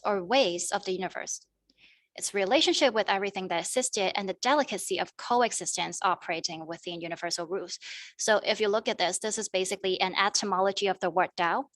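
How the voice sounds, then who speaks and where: A woman's voice: mid-range voice, fairly bright tone, dry recording. A young woman giving a prepared academic presentation as a student panelist, in a formal, wordy manner. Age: young adult.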